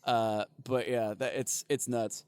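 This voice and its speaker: A man's voice: medium pitch, flat delivery, slow pace, darkish tone, smooth, no room sound. A man co-hosting a podcast in casual comedic chat, rambling and profane with lots of fillers and laughter. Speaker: podcast co-host.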